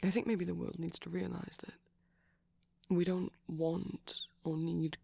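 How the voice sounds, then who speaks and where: A man doing a soft-spoken ASMR boyfriend roleplay as a shy, gentle vampire, rambling haltingly in a breathy voice that often drops to a whisper. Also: sits high, flat delivery, dry recording.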